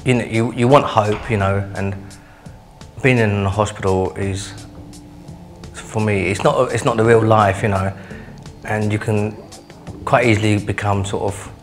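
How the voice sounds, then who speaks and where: A man's voice: fairly low pitch, steady tone, a little slow, pauses often, soft background music. A man giving an unscripted interview, searching for words with many filler phrases. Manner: often says "you know". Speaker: interviewee, patient.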